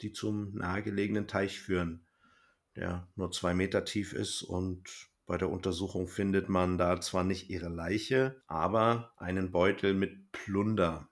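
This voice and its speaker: A man's voice: low-pitched, steady tone, a little slow. A man speaking informally as a podcast host in casual review chat, with a dry, joking manner.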